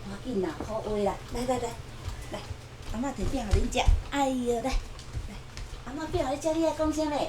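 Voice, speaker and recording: A woman's voice: high voice, a little fast, background noise, natural voice. A woman acting in a TV drama as a traditional older grandmother, doting yet chiding, with a Taiwanese accent. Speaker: actress in a family drama. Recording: echoey room with clear music underneath.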